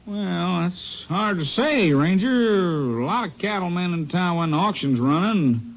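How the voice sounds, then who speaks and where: An actor in a 1950s radio drama playing a nervous small-town hotel desk clerk with a folksy, stammering delivery. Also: male voice, high voice, expressive, measured pace. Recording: muffled old radio broadcast.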